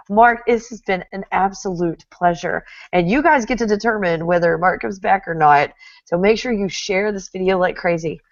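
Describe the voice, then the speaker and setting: A woman's voice: mid-range voice, dry recording, dull audio. A middle-aged woman from Texas with a Texan accent, hosting a casual interview, chatty and often laughing. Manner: chatty, laughs often, reads viewer comments aloud. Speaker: host.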